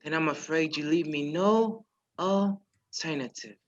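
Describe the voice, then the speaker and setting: A performer playing a polite, measured male professor who is secretly a menacing spy chief in a radio play. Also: medium pitch, close to an everyday voice. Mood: quietly menacing. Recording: dull lo-fi virtual recording.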